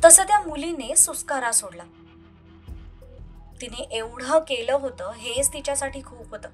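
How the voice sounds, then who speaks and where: High-pitched woman narrating a story. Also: animated, measured pace, soft background music. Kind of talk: dramatic scripted narration.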